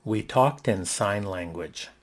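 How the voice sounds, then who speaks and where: Low-voiced man reading sentences deliberately. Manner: even, deliberate read, each sentence said twice. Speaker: English lesson reader. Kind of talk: reading example sentences aloud.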